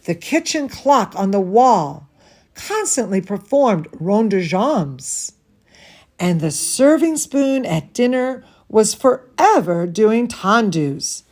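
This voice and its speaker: A woman reading a bedtime story to children as their dance teacher, theatrical and gushing, with drawn-out emphasis. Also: on the high side, a little mellow.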